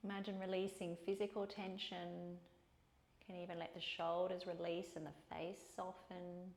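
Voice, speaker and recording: A woman teaching yoga and guided meditation in a calm, soothing way, with unhurried pauses between phrases. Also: fairly low pitch, gravelly. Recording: echoey room.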